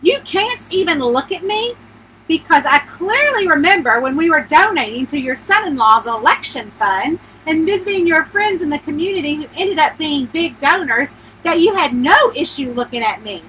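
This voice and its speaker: A woman's voice: high-pitched, animated, normal speed, a bit bright, a little hiss or hum, low-quality recording. A woman voicing a jilted wife in a story reading, incredulous and sarcastic, with emphatic questioning delivery. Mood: indignant.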